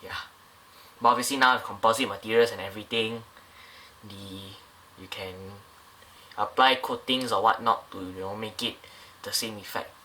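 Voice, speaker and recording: A man's voice: on the low side, steady tone, normal speed, pushed and bright. A man casually reviewing a scale model airliner up close, talking in a loose, rambling way with many fillers and restarts.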